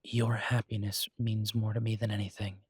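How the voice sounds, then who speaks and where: Guy acting as easygoing chef boyfriend, low husky voice, soft and reassuring, intimate roleplay, very dry close sound. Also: little pitch movement, normal speed, no echo. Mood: tender, reassuring. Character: devoted, easygoing male chef, reassuring romantic partner. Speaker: audio roleplay voice actor.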